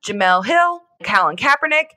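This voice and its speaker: A woman's voice: medium pitch, sing-song, brisk, sharp tone. A woman hosting a podcast, upbeat and chatty, asking her co-host questions in casual talk.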